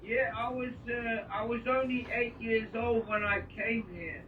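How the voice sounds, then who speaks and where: A man's voice: very high-pitched, steady tone, unhurried. A man with an English accent, a musician guest, talking in a gushing way with hesitant fillers over a remote link. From Kenya. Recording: distant, echoey, muffled remote line.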